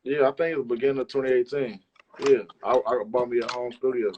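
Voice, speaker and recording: A man's voice: mid-range voice, steady tone, fast, highs cut off, a little hiss or hum. A man talks casually as a guest in an interview. His speech is loose, slangy and full of swearing, in a mid-range voice. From Chicago, United States. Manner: slangy, profane. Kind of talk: casual chat.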